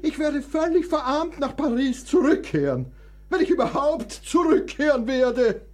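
Sickly, querulous composer, wildly animated. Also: male voice, extremely high pitch, a little slow. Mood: sarcastic ranting. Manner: dramatic, complaining, sarcastic. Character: sickly, querulous male composer, bitter and theatrical. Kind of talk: radio play acting.

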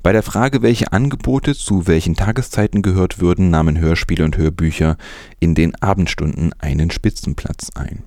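Tiefe, weiche Männerstimme als Nachrichtensprecher. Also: male voice, low voice, normal speed, a little mellow, no echo, clean studio sound. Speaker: news presenter. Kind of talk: scripted news narration.

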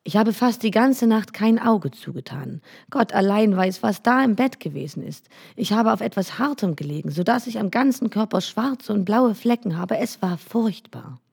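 A woman's voice: mid-range voice, expressive, moderate pace, vocal fry, few pauses, a little mellow, husky, voice pushed up. A woman voicing a delicate, pampered young princess who complains in a plaintive, fussy way. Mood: complaining.

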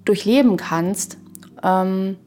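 A woman in a reflective podcast conversation, speaking in a halting, searching way and often adding little tag questions. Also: medium pitch, warm tone, soft background music.

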